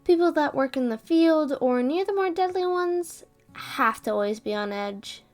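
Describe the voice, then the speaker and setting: A woman playing a stressed, protective female scientist in an audio roleplay drama, speaking deliberately with sighs. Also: high-pitched, lively intonation, a little mellow, soft background music. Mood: gentle, serious.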